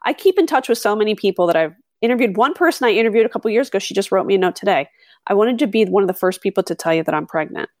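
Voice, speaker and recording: A middle-aged woman, a podcast host, telling stories in a chatty, animated way with lots of fillers. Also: medium pitch, very fast, no echo, studio quality. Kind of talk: conversational interview.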